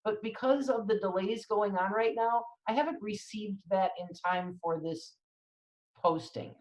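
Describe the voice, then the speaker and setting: A woman opens a live online talk as host, upbeat and chatty, with a few fillers along the way. Her voice sits at a middle pitch. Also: a little slow, rough recording. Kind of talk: live-stream introduction.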